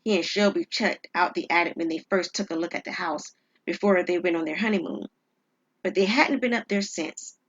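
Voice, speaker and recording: A woman's voice: lowish voice, moderate pace. Woman storyteller, slightly dull recording. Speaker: storyteller, writer and performer. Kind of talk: scripted story narration. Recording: dull, lo-fi audio.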